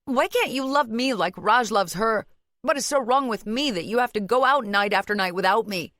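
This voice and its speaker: A woman's voice: medium pitch, steady tone, a little fast, fairly bright tone, no echo, studio quality, voice pushed up, close to an everyday voice. A woman voicing a wounded, tearful young wife who pleads and defends herself in an audiobook story. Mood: anguished, accusing. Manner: anguished, defensive, pouring out words.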